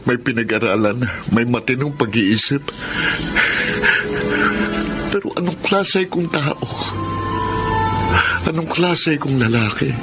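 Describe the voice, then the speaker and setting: A Filipino man playing an anguished married man confessing forbidden love in a melodramatic radio drama, speaking in a halting, emotional way. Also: mid-range voice, natural voice. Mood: tearful self-reproach. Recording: music bed under the voice.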